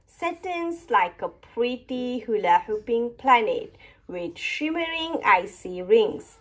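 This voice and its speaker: Woman as a young child astronaut, storybook, excitable, playful, high-pitched, swooping intonation. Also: slow pace, exaggerated voice, rough recording. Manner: exclamatory, wonder-filled, playful. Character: excitable young child space explorer in picture book.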